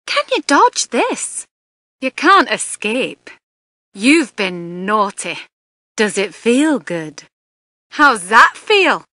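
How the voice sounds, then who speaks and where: Seductive female succubus, video game fighter, flirtatious taunts, crisp bright tone, highly animated pitch. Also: no room sound, studio quality, heavily acted. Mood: battle excitement, then haughty taunting. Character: seductive, teasing succubus woman, playful and haughty. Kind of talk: fighting game character voice lines.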